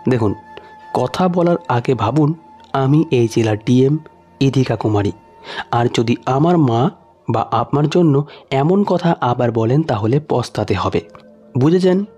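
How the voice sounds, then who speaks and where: Man reading as resolute young woman, expressive pitch. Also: measured pace, long pauses, breathy edge, soft background music. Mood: indignant. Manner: polite but firm. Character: calm, resolute young woman, secretly a district official.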